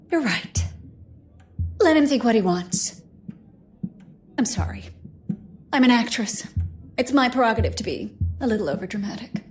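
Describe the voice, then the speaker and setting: A woman playing a proud, overdramatic stage actress with a sharp tongue in a mystery game. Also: fairly high pitch, expressive, unhurried, long pauses, faint music behind. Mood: curt, peeved. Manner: theatrical, overdramatic. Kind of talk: scripted game dialogue.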